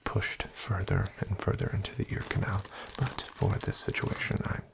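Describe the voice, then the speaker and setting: A man whispering as a formal, dryly ironic doctor in a soft-spoken ASMR roleplay. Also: fairly low pitch, flat delivery, normal speed, gravelly.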